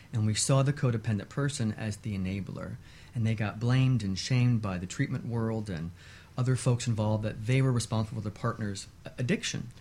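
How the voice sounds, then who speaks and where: A man speaking as an expert guest in a radio interview, explaining in a measured way with short repeated lists. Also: medium pitch, a little mellow, slight background noise.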